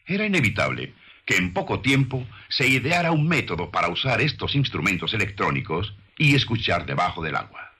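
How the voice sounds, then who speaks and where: A man narrating a scripted documentary in a solemn, measured, rhetorical manner. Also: fairly low pitch, sing-song, pushed and bright, breathy edge.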